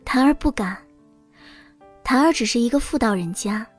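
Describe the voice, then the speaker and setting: An actress playing a demure young princess consort in an audio drama, speaking with formal deference. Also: female voice, fairly high pitch, lively intonation, moderate pace, darkish tone, soft background music. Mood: meek, courteous.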